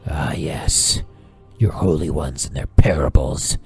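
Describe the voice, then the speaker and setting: A man's voice: very deep voice, takes it slowly, vocal fry, husky, faint music behind, full character voice. A man playing a sinister, mocking conspirator in an audio drama, half-whispering with sly, needling delivery. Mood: sly, taunting. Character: sinister low-voiced conspirator, mocking holy men.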